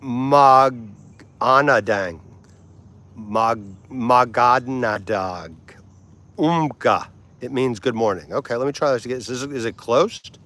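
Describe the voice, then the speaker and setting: Casual streamer, man, late forties, mid-pitched, chatty and loose, quick to laugh. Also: a bit bright. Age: 40s. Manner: chatty, laughs easily, tries foreign words slowly. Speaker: livestream host. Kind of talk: casual livestream chat with viewers.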